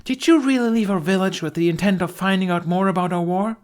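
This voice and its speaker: Man voicing a fierce high-pitched young Viking woman. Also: fast, smooth, lightly acted. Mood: furious, challenging. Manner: indignant, forceful, shouting accusations. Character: angry accusing young Viking warrior woman.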